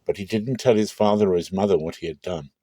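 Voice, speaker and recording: Low-voiced man reading scripture dramatically. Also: fast, dark tone, no echo. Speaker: narrator.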